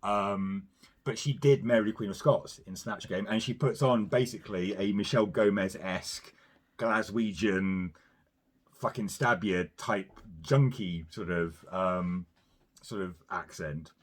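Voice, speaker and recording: A man with a fairly low voice talking through a film in relaxed podcast chat. He wanders through his points, hedging often and circling back before landing his argument. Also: a little slow, breathy edge. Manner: rambling, hedging with "you know" and "sort of". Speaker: podcast co-host. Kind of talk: casual film review chat.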